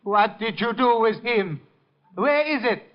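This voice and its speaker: A high-voiced, obsessive, fervent misfit. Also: male voice, animated. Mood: frantic, accusing. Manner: halting, deferential, fervent. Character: timid, obsessive male gallery worker turning unhinged and vengeful. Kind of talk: 1950s American radio drama acting. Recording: muffled 1950 radio broadcast, faint music.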